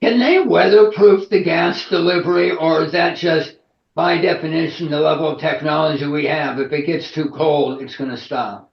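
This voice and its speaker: Man, interviewer, fairly high pitch, casual colloquial questioning, echoey room, slightly dull sound. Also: fairly even intonation, moderate pace, creaky.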